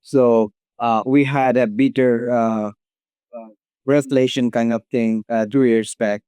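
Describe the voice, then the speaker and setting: A man with a dark, smooth voice puts a formal question from an audience. He goes hesitantly, pausing often, in a dry recording. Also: medium pitch, fairly even intonation, no room sound. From Bangladesh. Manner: hesitant, broken by pauses.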